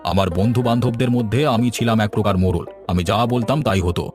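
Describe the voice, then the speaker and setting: A man's voice: on the low side, brisk, a little mellow, soft background music, close to an everyday voice. A man playing a nostalgic, folksy old village husband in a cartoon, often complaining. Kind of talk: cartoon dialogue.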